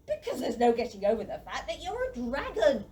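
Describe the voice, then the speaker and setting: A woman's voice: fairly high pitch, wildly swooping pitch, brisk, a little mellow. A woman playing an earnest, bookish village boy in a children's audio play, blunt but kind, by turns hesitant and exasperated. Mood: flustered. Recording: noisy, slightly distant microphone.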